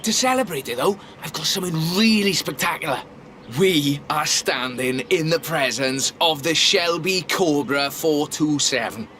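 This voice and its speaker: A man's voice: a little high, lively intonation, moderate pace, pushed and bright, slight background noise. An actor playing an enthusiastic, posh British drift club man in a video game, with a theatrical, genteel way of talking. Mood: excited. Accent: British English. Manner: effusive, theatrical, genteel wording. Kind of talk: video game character dialogue. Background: music and car sounds.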